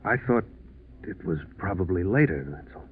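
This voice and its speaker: Tinny, faintly noisy 1940s radio drama with a guilty American businessman. He sounds evasive and cornered, his pitch moving restlessly as he falters defensively. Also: animated, moderate pace. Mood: nervous, defensive.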